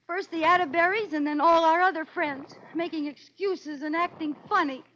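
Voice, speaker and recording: An actress playing an excitable, scheming young housewife in an American 1940s radio comedy, with a melodramatic manner that makes much of small troubles. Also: female voice, very high-pitched, normal speed. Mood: wailing distress. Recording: noisy, muffled old radio recording.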